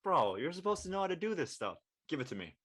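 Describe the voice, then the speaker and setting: A woman's voice: sits low, very animated, fast, breathy edge, heavily acted. A woman playing a cocky, teasing young college bro in an audio drama, brash and slangy. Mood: gruff. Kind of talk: acted audio drama dialogue.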